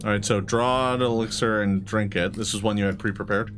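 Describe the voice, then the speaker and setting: A man working as game master, narrating a tabletop game off the cuff in a halting, deliberating way. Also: lowish voice, steady tone, music in the background.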